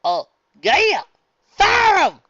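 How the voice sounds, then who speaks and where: A woman voicing a blunt, rowdy farm mare pony yelling at a ball game. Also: very high-pitched, wildly swooping pitch, very slow, dry recording, dull audio, pitched above the performer's own voice, full character voice. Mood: heckling. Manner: loud heckling, folksy.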